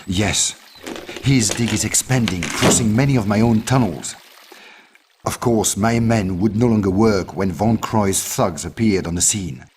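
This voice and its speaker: An actor playing a worldly, anxious ally in a video game, with a measured, formal, cautionary manner. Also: male voice, fairly low pitch, noisy background, lightly acted. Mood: grave, worried. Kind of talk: video game cutscene acting. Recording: game music behind the voice.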